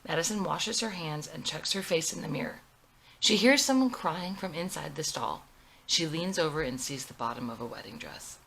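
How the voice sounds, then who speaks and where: A woman's voice: deep voice, lively intonation, normal speed, creaky, fairly bright tone, a little hiss or hum, poor audio. A woman narrating the stage directions of a screenplay as its writer reading it out.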